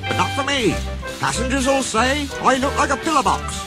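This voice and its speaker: A man's voice: on the high side, sing-song, moderate pace, music in the background, pitched above the performer's own voice. A man voicing a small, grumbling engine in a children's story, blunt, boastful and colloquial in manner. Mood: grumpy, indignant.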